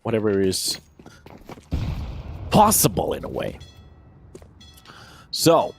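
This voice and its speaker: A man in his thirties, raised in Finland, giving lively solo play-by-play on a shooter match and chatting with viewers between rounds. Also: lowish voice, slow, creaky, slight background noise. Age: 30s. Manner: energetic, chatty, answers viewer questions between plays. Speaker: esports caster. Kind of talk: solo play-by-play commentary with chat replies.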